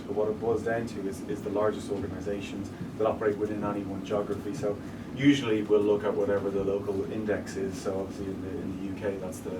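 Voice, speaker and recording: An Irish man with a lowish voice explains his work as a guest speaker, steady and businesslike, often restarting mid-sentence. He sounds set back from the microphone, with a clear hum of room noise underneath. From Ireland. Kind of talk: interview talk to a live audience. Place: event room with an audience. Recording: distant mic with room noise.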